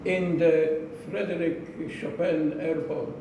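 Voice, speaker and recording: Man, slightly high-pitched, deliberate public speech, emphatic repetition, heavy room echo. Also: fairly even intonation. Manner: slow, deliberate, repeats phrases for emphasis. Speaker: club speaker giving a speech. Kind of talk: prepared club speech to a live audience. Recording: echoey room, distant mic, noisy.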